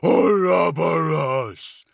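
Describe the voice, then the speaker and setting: A man voicing a vast, ancient leviathan demon in an audiobook, thundering slow, imperious commands in fury. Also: a little high, creaky, no room sound, clean studio sound, voice pushed up, exaggerated voice. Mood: spiteful.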